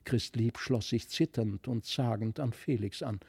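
A man narrating a literary fairy tale in a dramatic, expressive manner. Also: mid-range voice, a little slow, creaky, husky. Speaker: storyteller.

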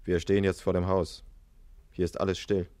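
Guy as a no-nonsense police corporal, really low voice, old radio play. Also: little pitch movement, moderate pace, darkish tone, lightly acted. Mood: dutiful. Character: brisk, matter-of-fact male police corporal. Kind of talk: 1950s German radio drama acting.

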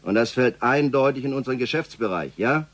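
A man's voice: mid-range voice, animated, fairly quick, no room sound, lightly acted. An actor playing a domineering, menacing crime boss in a radio drama, curt and sarcastic as he gives orders. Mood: grim, calculating. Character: domineering, menacing male boss of a criminal gang.

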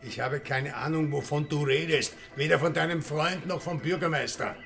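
An actor playing a bitter, cornered Austrian village murderer in a radio crime drama, indignant and sneering. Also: male voice, a little high, normal speed, creaky, a bit bright, faint music behind. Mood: cold, dismissive.

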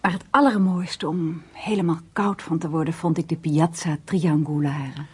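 An actress playing a shy, modest garden designer, speaking softly and hesitantly in a naturalistic radio drama. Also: female voice, low voice, steady tone, moderate pace, a little hiss or hum, close to an everyday voice. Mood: wistful. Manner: hesitant, tentative.

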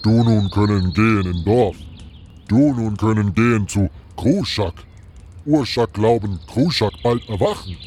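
Ein Mann spielt in einem Fantasy-Hörspiel einen ernsten, traditionsbewussten Ork, der knapp und in gebrochener Grammatik spricht. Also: male voice, on the low side, a little slow, a little mellow. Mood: grave, resolute. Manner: broken grammar, terse, stilted phrasing. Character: solemn male orc shaman, tradition-bound warrior. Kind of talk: fantasy radio play acting.

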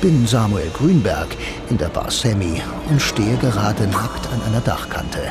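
A low, musing male voice over loud music, a blind noir antihero telling his own story in a radio play. He is wry and brooding, and confides in the listener. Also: animated, measured pace. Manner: musing, intimate, addresses the listener directly. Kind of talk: first-person radio drama narration. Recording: loud music bed under the voice.

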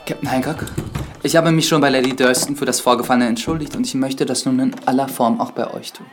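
A man's voice: medium pitch, fairly even intonation, fast, close to an everyday voice. A young man acting an earnest, polite priest turned investigator in a German radio drama, courteous and explanatory. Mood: polite, apologetic. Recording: studio radio drama with music underneath.

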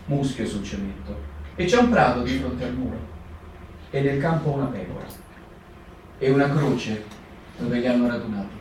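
A man giving a quiet, fragmented descriptive reading in a radio theatre piece. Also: mid-range voice, expressive, normal speed, long pauses, heavy reverb, recorded from a distance, a little hiss or hum, poor audio. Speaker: reader. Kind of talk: performed literary reading.

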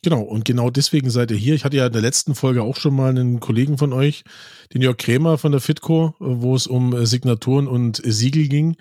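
A man's voice: medium pitch, fast, a little mellow, no echo, studio quality. A man hosting a podcast interview, talking with his guests over a remote connection and setting up his questions plainly. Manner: conversational, sets up questions plainly. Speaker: podcast host. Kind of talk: casual interview chat. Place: remote recording, virtual studio.

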